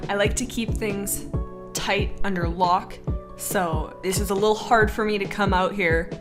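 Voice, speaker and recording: A woman's voice: medium pitch, fairly even intonation, a little slow, music in the background, close to an everyday voice. A woman voicing a sassy, dramatic female warrior cat in improvised, bantering fan Q&A, theatrically indignant with her sibling. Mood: mock coy.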